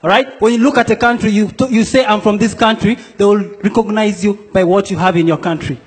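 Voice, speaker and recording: Young guy from south sudan doing stand-up, really high voice, big hall with laughter. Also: steady tone, a little fast, breathy edge. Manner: playful, acts out quoted characters. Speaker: stand-up comedian. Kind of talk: stand-up comedy set. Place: comedy stage in a large hall before a laughing audience.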